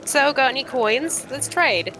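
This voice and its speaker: A woman's voice: high-pitched, lively intonation, measured pace, sharp tone, slight background noise, voice pushed up, full character voice. A woman voicing a brash, scornful old cave-dwelling trader woman in a fantasy game, with blunt, rustic haggling.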